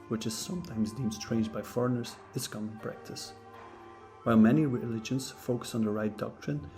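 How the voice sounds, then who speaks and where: A man, not a native English speaker, reading a scripted philosophy lesson in a steady, measured way. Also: medium pitch, gravelly. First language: neither English nor Japanese. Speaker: narrator of a philosophy channel. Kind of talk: scripted educational narration. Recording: faint guitar music behind.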